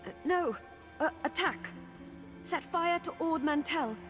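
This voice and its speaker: An actress playing a tormented, unravelling young Sith woman in a video game, speaking in halting, desperate fragments. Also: very high-pitched, a bit bright, soft background music. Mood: confused, despairing.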